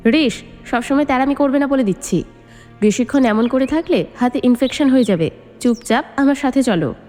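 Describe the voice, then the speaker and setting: A woman's voice: high voice, expressive, normal speed, a little mellow, pitched above the performer's own voice. A woman storyteller voicing a fiercely protective, hot-tempered middle-aged father with a commanding, emphatic delivery. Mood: stern. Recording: long reverb, music underneath.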